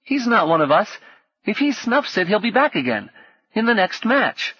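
A man's voice: sits high, big pitch swings, moderate pace, pushed and bright, slightly rough, no room sound, muffled recording, voice pushed up, exaggerated voice. A man voicing a cheeky, brash, streetwise young boy in an audiobook, slangy, urgent and dismissive. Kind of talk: audiobook character acting.

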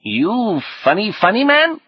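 A man voicing a childish, excitable young tribe creature in an audiobook, speaking in clipped, broken kid slang. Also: high-pitched, a little slow, husky, no room sound, lo-fi sound, voice pushed up, exaggerated voice. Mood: excitable, probing.

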